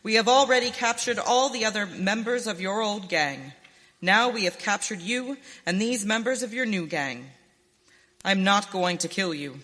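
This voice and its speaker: An actor playing a calm, righteous, commanding masked western hero in a live radio drama. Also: mid-range voice, normal speed. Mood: stern. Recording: reverberant hall.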